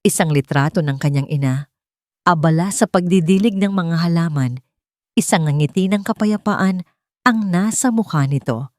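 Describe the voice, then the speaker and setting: A woman reading scripted dramatic narration as a storyteller, in measured short phrases. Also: low voice, darkish tone, no echo, studio quality.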